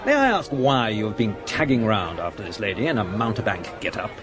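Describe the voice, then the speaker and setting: An actor playing a cynical, swaggering major turned society rogue in a radio drama, with a sardonic drawl. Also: male voice, fairly low pitch, big pitch swings, brisk, over music. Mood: challenging.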